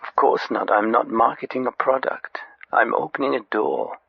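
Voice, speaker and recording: An actor playing an earnest, vague spiritual guru on a spoof radio phone-in, husky and halting, with solemn pauses. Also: male voice, medium pitch, no echo, highs cut off. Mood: firm, serene. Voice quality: husky, heavily creaky.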